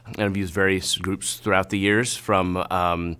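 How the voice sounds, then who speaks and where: Male interviewee, music arranger. Lowish, forward bright tone, halting reflective delivery. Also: little pitch movement, clear, resonant tone. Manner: halting, thoughtful.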